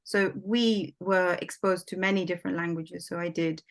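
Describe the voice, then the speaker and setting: A woman with a lowish voice whose first language is Swahili, from Somalia. She chats loosely and fills her sentences with "like" and "um". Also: fairly even intonation, moderate pace. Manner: chatty, many fillers like "like" and "um". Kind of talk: casual conversation.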